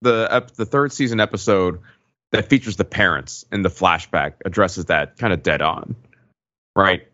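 A man's voice: low-pitched, normal speed, bright, forceful tone, lo-fi sound. A man co-hosting a podcast in casual discussion, prompting his co-hosts with questions. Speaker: podcast co-host.

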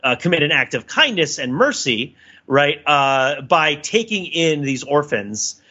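Bright, forceful man on a podcast. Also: a little high, big pitch swings, muffled recording. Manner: halting, thinking aloud, repeats "right?" as a tag. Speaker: podcast co-host. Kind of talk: casual analytical podcast discussion.

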